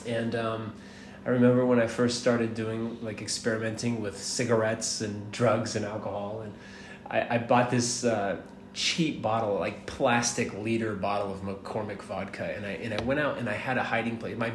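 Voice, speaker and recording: A relaxed American man in his mid-thirties tells stories in a loose, rambling way and drops the odd swear word. His voice sits fairly low. The room is echoey, with clear background noise under him. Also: moderate pace. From United States, born in Miami, raised in Atlanta. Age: 30s. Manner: laid-back, rambling, laughs often, swears casually. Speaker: guest. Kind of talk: casual storytelling interview. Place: hostel.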